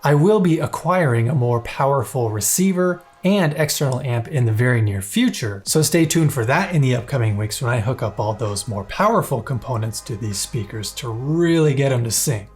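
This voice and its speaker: Male reviewer, playful and enthusiastic scripted read, mid-pitched, faint music under. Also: lively intonation, moderate pace, few pauses. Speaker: product reviewer.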